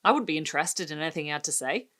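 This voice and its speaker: A woman's voice: low voice, expressive, racing, a bit bright, pitched below the performer's own voice. Curt, stubborn husband voiced by a woman. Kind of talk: dramatic short story reading.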